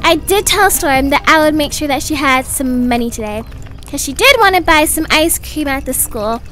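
A woman's voice: sits high, expressive, normal speed, bright, forceful tone, soft background music. A woman voicing a doting, fussy young mother in a gushing, motherly manner for a roleplay story. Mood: chatty. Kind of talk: animated doll-game roleplay voice acting.

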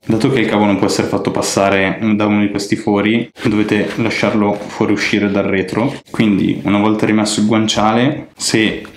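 A man's voice: fairly low pitch, flat delivery, moderate pace, few pauses, a little hiss or hum. A man giving a casual, chatty hands-on tutorial as a vlog host at home. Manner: colloquial, chatty, explanatory. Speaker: motovlogger and channel host. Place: indoors, living room at home. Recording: echoey home room.

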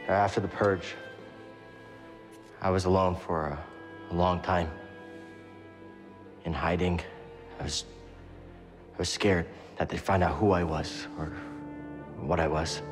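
A man playing an earnest, weary but hopeful young Jedi fugitive in a video game cutscene, sometimes halting as he speaks. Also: low voice, steady tone. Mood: vulnerable. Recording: music behind the voice.

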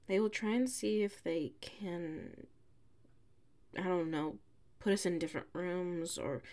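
A woman voicing a prickly, haughty young tsundere classmate with a hidden soft side, in an ASMR audio roleplay. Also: mid-range voice. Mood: reluctantly caring. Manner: haughty, huffy, sighing, drawn-out phrasing.

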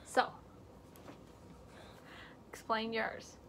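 A teenage girl hosting a casual home vlog, chatty and joking, recorded on a computer camera mic in a room at home. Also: very slow. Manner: chatty, joking, self-mocking asides; drops to hushed whispers while showing things up close. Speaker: vlog host. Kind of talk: casual chat. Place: indoor home room with shelves.

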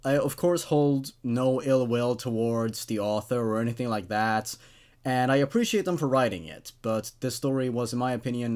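A man's voice: medium pitch, a little mellow. A man in his twenties hosting, reading stories aloud and commenting on them in a casual, conversational way. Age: 20s. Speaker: host reading and reviewing horror stories.

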